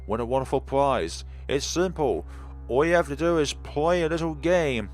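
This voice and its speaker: A man voicing a sly, theatrical game host who teases the players, with a smooth, showman-like delivery. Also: fairly high pitch, expressive, normal speed, a little mellow, faint music behind, voice pushed up, close to an everyday voice.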